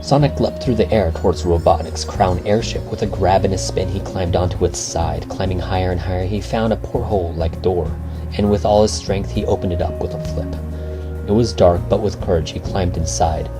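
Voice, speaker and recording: A man with a low voice reads a rhyming bedtime adventure. His delivery is theatrical and measured, in the manner of a storybook narrator. Music plays clearly under the voice. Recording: music behind the voice.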